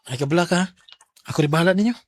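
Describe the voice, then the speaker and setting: A man's voice: fairly high pitch, quick pace, lightly acted. An actor playing an easygoing, teasing young man who flusters easily, with a Cebuano accent, in radio drama style. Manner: animated, teasing, exclamatory. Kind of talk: Bisaya radio drama acting. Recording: noisy radio drama recording.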